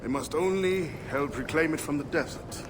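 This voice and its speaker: An actor playing a proud middle-aged cult leader in a video game, speaking in a grandiose, declamatory way. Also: male voice, a little high, normal speed, long reverb tail, noisy background, close to an everyday voice. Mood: proud, measured. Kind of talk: dramatic video game acting.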